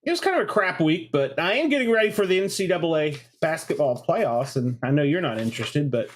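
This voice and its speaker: A man's voice: fairly high pitch, lively intonation, brisk. A man co-hosting a casual chat show, rambling about college basketball while getting over a chest cold. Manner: rambling, repeats filler words. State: congested from a cold. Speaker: co-host.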